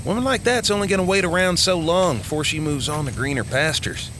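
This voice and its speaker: An actor playing a chatty, teasing, excitable small-town American deputy with a folksy, colloquial manner in an audio drama. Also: male voice, fairly high pitch, brisk, slight background noise, natural voice. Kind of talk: full-cast audio drama acting. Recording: music bed under the dialogue.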